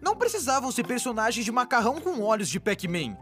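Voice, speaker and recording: High, animated Brazilian cartoon narrator voiced by a woman. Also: fast, faint music behind. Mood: wry, enthusiastic. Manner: exaggerated, informal, jokey delivery. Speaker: narrator of an animated explainer video. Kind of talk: scripted comic explainer narration, dubbed.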